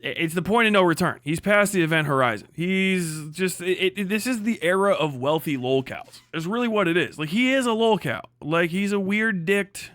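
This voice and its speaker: Higher-voiced man, blunt profane livestream ranter. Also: animated, moderate pace, hardly any pauses, a bit bright, no room sound, studio quality. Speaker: livestream host and political commentator. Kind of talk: casual, unscripted livestream commentary.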